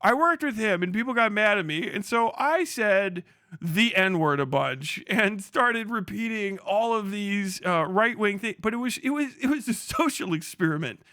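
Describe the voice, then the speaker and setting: A man's voice: sits high, expressive, normal speed, pushed and bright, no room sound, studio quality. A man hosting a livestream with casual, profane commentary, sarcastic and incredulous, often repeating phrases for emphasis. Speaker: livestream host. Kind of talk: casual commentary to a live audience.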